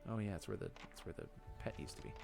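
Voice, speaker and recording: Male let's play host, lower register with animated pitch swings, casual, thinking out loud, music underneath. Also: rapid-fire, creaky. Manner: thinking aloud. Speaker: gaming video host.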